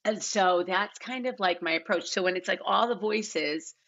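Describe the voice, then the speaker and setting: A woman's voice: fairly low pitch, moderate pace, fairly bright tone. A middle-aged woman talking casually as a podcast guest, informal and repeating herself for emphasis on a video call. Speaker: casting director, podcast guest. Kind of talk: casual podcast interview talk. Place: large living room at home. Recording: slightly dull, lo-fi video-call sound.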